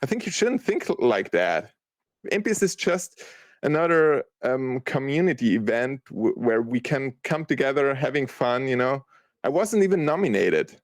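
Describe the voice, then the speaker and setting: A man's voice: medium pitch, big pitch swings, moderate pace, no room sound, studio quality. A man with a German accent, German his first language, talking as a podcast guest in a hesitant way, with many restarts. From Austria. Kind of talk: casual chat.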